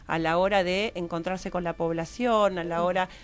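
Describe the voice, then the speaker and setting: A woman's voice: fairly low pitch, steady tone, moderate pace. A woman with a Rioplatense Argentine accent speaking as a radio guest, explaining research and often restarting to rephrase. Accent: Rioplatense Argentine Spanish. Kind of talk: explanatory radio interview talk. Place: radio studio table.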